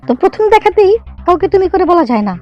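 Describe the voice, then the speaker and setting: A woman's voice: very high voice, very animated, rapid-fire, dull audio, soft background music. A woman voicing a prim, outspoken young woman who argues firmly and emphatically in a comedy cartoon. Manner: emphatic, argumentative. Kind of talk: amateur cartoon comedy dialogue.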